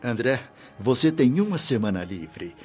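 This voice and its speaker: Husky male mentor spirit, lively intonation, Brazilian accent, kindly authority, reassuring, radio drama. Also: mid-range voice, unhurried. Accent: Brazilian Portuguese. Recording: muffled radio drama with music bed.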